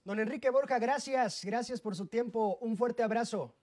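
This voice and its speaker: High-voiced Mexican sports interviewer. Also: male voice, normal speed, a little mellow. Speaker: sports broadcast host and interviewer.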